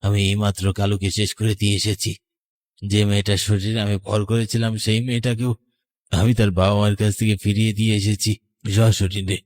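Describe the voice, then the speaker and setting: A man playing the vengeful ghost of a murdered young lover in an animated horror story, accusing and deliberate. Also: fairly low pitch, close to an everyday voice. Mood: bitter, sorrowful.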